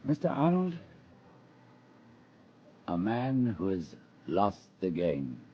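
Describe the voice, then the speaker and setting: Slow, low police subordinate. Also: male voice, wildly swooping pitch, dull audio, slight background noise, exaggerated voice. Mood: subdued, respectful. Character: deferential, dutiful male police subordinate, quietly thoughtful. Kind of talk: radio drama acting.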